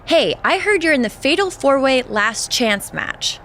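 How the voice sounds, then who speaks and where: A woman's voice: high-pitched, sing-song, measured pace, pushed and bright, slight background noise, heavily acted. An actress playing a chatty woman wrestler in a video game cutscene.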